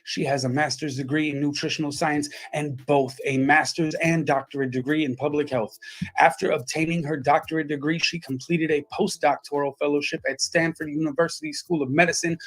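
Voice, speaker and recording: A man's voice: fairly high pitch, fairly bright tone, low-quality recording. Enthusiastic male show host. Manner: enthusiastic, welcoming introductions. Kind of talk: interview show hosting.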